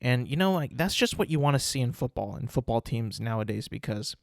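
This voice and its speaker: Brief: young male podcast host, stuffy nose, loose rambling delivery full of fillers, mid-pitched voice, dry close sound. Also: animated, a little fast, dry recording. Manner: rambling, many fillers and restarts. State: congested, sniffling. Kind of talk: casual solo podcast talk.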